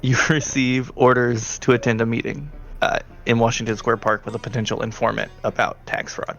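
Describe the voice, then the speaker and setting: A man's voice: mid-range voice, level tone, brisk, vocal fry, fairly bright tone. A man running a tabletop roleplaying game as game master, narrating casually and putting questions to players.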